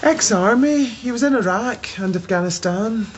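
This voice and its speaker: An actress in a radio drama playing a busy Scottish journalist, brisk and a little harried. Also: female voice, a little high, animated, breathy edge. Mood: flustered, brisk. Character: busy, flustered female film critic and daughter. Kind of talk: naturalistic radio drama acting. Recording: muffled, noisy ambience behind.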